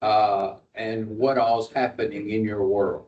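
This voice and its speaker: A man moderating a video call panel, giving slow, drawn-out prompts. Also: on the low side, little pitch movement, a little hiss or hum. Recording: dull distant video call audio.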